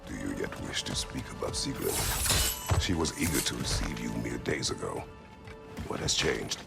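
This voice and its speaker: A man playing a stern, middle-aged warrior in a video game, terse and formal, with a gruff growl. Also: lively intonation, moderate pace, over loud music, full character voice. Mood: stern, restrained. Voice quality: very deep gravelly growl. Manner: terse, stiff formal phrasing. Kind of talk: dramatic video game acting.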